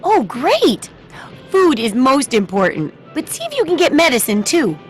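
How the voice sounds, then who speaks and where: Perky, high-pitched eccentric wasteland woman. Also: huge pitch swings, normal speed, faint music behind. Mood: chirpy, eager. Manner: perky, chatty, singsong questioning tags. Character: cheerful eccentric wasteland shopkeeper and amateur researcher, young woman. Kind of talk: video game dialogue.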